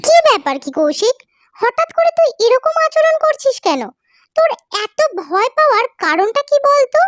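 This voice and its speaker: A woman's voice: extremely high pitch, sing-song, normal speed. An actress playing a young woman doctor in a cartoon horror story, worried, irritable and dramatic. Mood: alarmed, questioning. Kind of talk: acted Bengali cartoon horror story dialogue.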